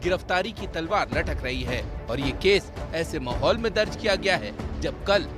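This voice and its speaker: A man's voice: a little high, lively intonation, normal speed. A man narrating a scripted news voice-over. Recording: voice-over under prominent music. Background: news music.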